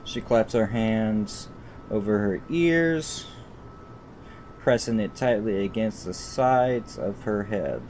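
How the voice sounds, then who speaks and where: A man's voice: expressive, slow pace, a little mellow. A man streaming a visual novel, reading it aloud with profane, exasperated asides and stumbling over words. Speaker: gaming streamer. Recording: rumbly background noise.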